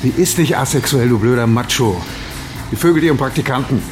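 An actor playing a flustered, defensive 59-year-old editor, stammering and testy in naturalistic radio drama dialogue. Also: male voice, mid-range voice, brisk, music in the background, close to an everyday voice. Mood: earnest, smitten.

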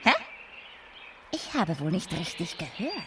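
A woman's voice: on the low side, sing-song, measured pace, background noise. An actress playing a sly old wicked queen in a children's radio play, with a wheedling, theatrical manner. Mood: indignant.

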